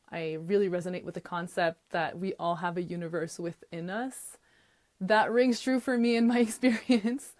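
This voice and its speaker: A woman in her thirties from Quebec whose first language is French, hosting her own podcast alone and talking in a chatty, upbeat way with soft laughs between phrases. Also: fairly low pitch. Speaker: podcast host. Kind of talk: casual solo podcast monologue.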